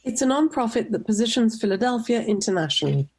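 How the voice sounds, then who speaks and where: A middle-aged woman from Tunisia, a nonprofit director, giving measured, composed answers in a radio interview. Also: a little high, animated, darkish tone, slightly rough. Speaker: guest, nonprofit executive director.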